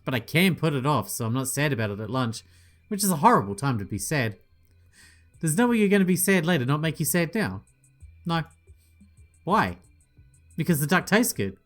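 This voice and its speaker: A man's voice: a little high, big pitch swings, normal speed, long pauses, soft background music. A man playing through a visual novel, reading every part aloud with playful asides and the odd swear. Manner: playful, swearing asides, voices each character. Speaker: gaming channel host.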